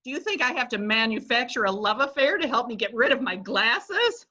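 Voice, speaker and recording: Elderly society matron, read by a woman: high, sing-song, sharply teasing. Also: lively intonation, a little fast, pushed and bright, muffled recording. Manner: tart, incredulous and teasing. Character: sharp-tongued elderly society lady, skeptical. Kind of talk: readers theatre reading.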